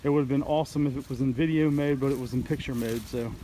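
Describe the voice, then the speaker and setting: Male outdoor vlogger, average pitch, loose folksy storytelling with hesitations, some natural background noise. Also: fairly even intonation, quick pace, mellow. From United States. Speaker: outdoor vlog host. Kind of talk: casual play-by-play hunting storytelling. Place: outdoors in woods by a creek. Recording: noisy outdoor ambience.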